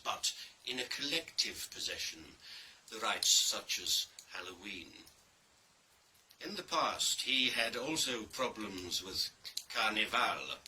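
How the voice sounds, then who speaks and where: A man reads a dark story as dramatic scripted narration, theatrical and deliberate, with pauses and heavy emphasis. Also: fairly low pitch. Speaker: reader of a play. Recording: distant mic with faint background noise.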